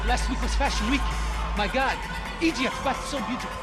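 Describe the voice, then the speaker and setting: An actor playing an effusive, smooth-talking society man, loud and gushing, in a game. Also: very high-pitched, a little fast. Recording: loud music and crowd noise. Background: loud music, noisy crowd.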